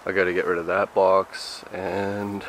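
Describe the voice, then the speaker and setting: A young Canadian man with a low voice talks casually to his vlog audience. His delivery is laid-back and slangy, rambling along loosely. The sound comes from a handheld camera mic with clear background noise. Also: little pitch movement, unhurried. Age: 20s. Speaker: vlogger. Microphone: camera's built-in mic, handheld or at arm's length. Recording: noisy handheld camera audio, vehicle and ambient noise.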